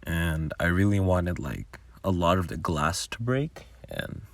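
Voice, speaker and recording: A man's voice: sits low, sing-song, measured pace, vocal fry, background noise. A man giving casual behind-the-scenes commentary on his own audio work in a rambling, informal way. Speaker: audio roleplay creator.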